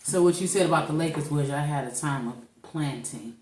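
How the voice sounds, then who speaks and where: A woman's voice: low-pitched, fairly quick, a little mellow, echoey room. A woman co-hosting a podcast in casual chat, playful and teasing. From St. Louis, Missouri. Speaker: podcast co-host.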